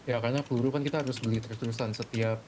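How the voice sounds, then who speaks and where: A man's voice: fairly even intonation, racing. A man casually laying out his points step by step in an online game voice chat. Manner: explanatory, making points step by step. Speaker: player in a role-play gang meeting. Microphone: gaming voice chat microphone. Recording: rumbly background noise on game voice chat.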